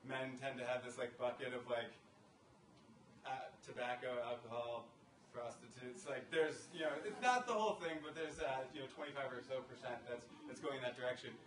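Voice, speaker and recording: A man in his thirties speaking as a panelist, talking in a halting way with many fillers into a table microphone in a college conference room. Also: medium pitch, fairly bright tone, a little hiss or hum. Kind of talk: conversational panel remarks. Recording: echoey room, picked up from a distance.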